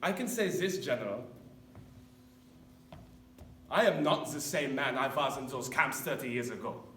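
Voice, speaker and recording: A man's voice: mid-range voice, expressive, fairly bright tone. Man acting a haunted older ex-officer, echoey room. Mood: resolute, defiant. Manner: deliberate, solemn, with weighty pauses. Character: haunted, repentant older former camp officer, solemn and defiant. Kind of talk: dramatic stage monologue. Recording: echoey room, distant mic, faint noise.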